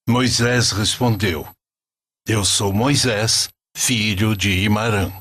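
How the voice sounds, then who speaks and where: A man narrating a scripted religious story as a storyteller, in an expressive, deliberate way. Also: fairly low pitch, dry recording, studio quality.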